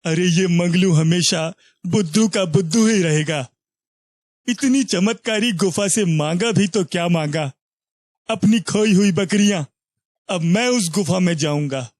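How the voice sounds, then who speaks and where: A man voicing a greedy, scornful village brother-in-law in an animated moral story, with a boastful, scheming manner. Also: sits high, measured pace, no room sound, voice pushed down.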